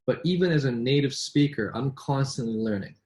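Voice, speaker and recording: Casting: male business coach in casual chat, medium pitch, repeats words and tags lines with "right?". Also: lively intonation, brisk, a little mellow. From born in New York, raised in Vancouver. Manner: chatty, with frequent restarts and rhetorical "right?". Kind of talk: casual conversation between colleagues.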